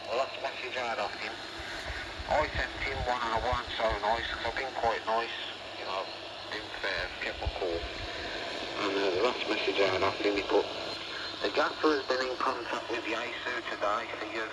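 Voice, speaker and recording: A man's voice: fairly low pitch, steady tone, normal speed. A British man chatting casually and bluntly over CB radio, using matey slang. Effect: CB radio transmission. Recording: very noisy CB radio reception.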